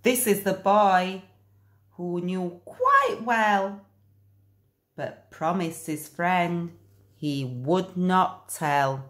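A woman reading a children's picture book aloud in an expressive, deliberate storytelling manner. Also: on the low side, echoey room. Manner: slow, animated, deliberate storytelling.

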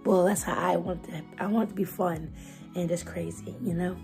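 Hoarse young woman vlogging over music. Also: on the low side, brisk. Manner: chatty, loud and expressive. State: hoarse, voice nearly gone. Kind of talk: casual vlog chat to camera. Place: bedroom at a desk, indoors. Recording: music playing under the voice. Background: lofi music bed.

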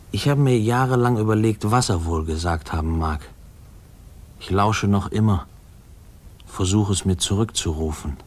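An actor playing a grown man wistfully recalling his orphaned boyhood, reflective and measured, in a 1950s radio play narration. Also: sits low.

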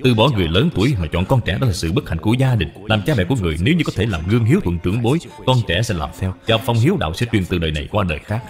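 A man's voice: lowish voice, expressive, rapid-fire, hardly any pauses, faint music behind, natural voice. A man voicing a courteous, scholarly father in a cartoon dub, formal and respectful. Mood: earnest, moralizing. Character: courteous, principled father, scholarly younger friend.

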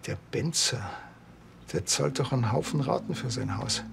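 An actor playing a gruff, sarcastic Bavarian police officer in a TV crime comedy, blunt and dry. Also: male voice, medium pitch, expressive, moderate pace, background noise. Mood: matter-of-fact.

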